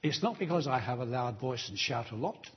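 A man preaching a sermon in a church, delivering slow, emphatic appeals to the congregation. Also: mid-range voice, expressive, muffled recording, a little hiss or hum.

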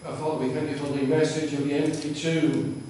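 Mellow man praying from afar. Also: fairly high pitch, level tone, brisk, a little hiss or hum. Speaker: prayer leader. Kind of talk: read prayer. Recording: distant mic in echoey church.

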